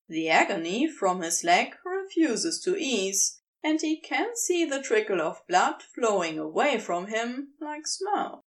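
A woman reading a scripted story aloud in a measured, deliberate audiobook style. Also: medium pitch. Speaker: podfic narrator reading fan fiction.

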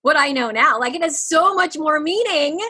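Woman, 40s, high voice, bright tone, coach, casual podcast chat, laughs often. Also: moderate pace. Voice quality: higher-pitched, youthful-sounding. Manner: expressive, laughs often, animated.

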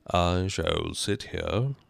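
A man voicing an indifferent, dim-witted creature footman in a storybook reading, deadpan and drawn-out. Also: low voice, creaky, dry recording, clean studio sound, full character voice. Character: indifferent, dim, stolid male fish-or-frog footman creature.

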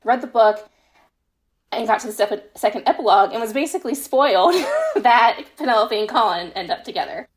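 A woman's voice: on the high side, lively intonation, a little fast, background noise. Gushing woman in casual podcast chat. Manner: gushing, emphatic enthusiasm. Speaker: interviewed fan fiction author.

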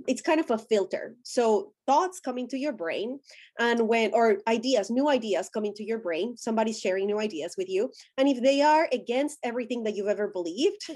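A woman's voice: a little high, a little mellow. A woman from Colombia with a Colombian Spanish accent, a native Spanish speaker, talking earnestly as a podcast guest and explaining ideas with quoted inner voices. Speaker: podcast guest, ordained minister. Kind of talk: conversational interview.

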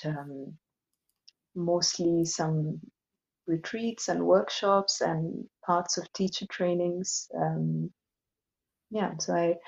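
A woman with an Indian accent talking reflectively as an interview guest, explaining things in a measured way. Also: lowish voice, gravelly, muffled recording. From Assam, northeast India. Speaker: interview guest, yoga teacher. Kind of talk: thoughtful podcast conversation.

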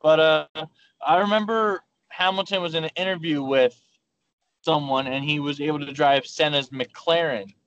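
A man's voice: a little high, steady tone, moderate pace, fairly bright tone, a little hiss or hum. A man co-hosting a casual podcast chat, telling stories in a rambling way with many restarts. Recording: muffled lo-fi call audio.

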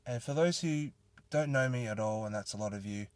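A man's voice: mid-range voice, a little fast, no room sound. A young Australian man hosting a solo podcast, talking in an earnest, rambling and grateful way. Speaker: podcast host. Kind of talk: casual solo podcast monologue.

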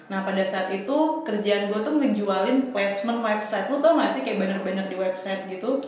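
A woman's voice: medium pitch, normal speed, a little mellow. A woman in her twenties, a podcast guest casually telling her story in slangy talk with English phrases mixed in. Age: 20s. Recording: very echoey room with faint noise.

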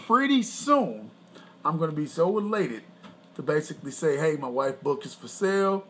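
A man co-hosting a podcast with his wife, talking in a loose, boastful, rambling way and clearing his throat often. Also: fairly high pitch, sing-song, normal speed, fairly bright tone, slight background noise. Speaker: podcast co-host. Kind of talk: casual chat.

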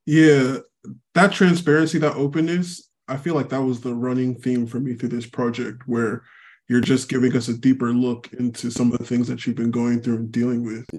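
A man's voice: mid-range voice, little pitch movement, normal speed, darkish tone. A man hosting a podcast, asking laid-back interview questions. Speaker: podcast host.

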